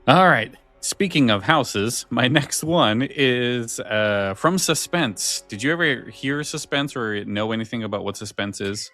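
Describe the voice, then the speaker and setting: Bright-voiced man chatting on a podcast. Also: medium pitch, animated, unhurried, few pauses, soft background music. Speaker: podcast co-host. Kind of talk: casual chat about scary stories.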